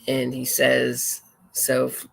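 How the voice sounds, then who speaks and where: A woman's voice: rumbling low, monotone, slow pace, gravelly, slightly rough. A woman hosting a true crime talk show, commenting casually and reading messages aloud, often digressing and correcting herself as she goes. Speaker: podcast host.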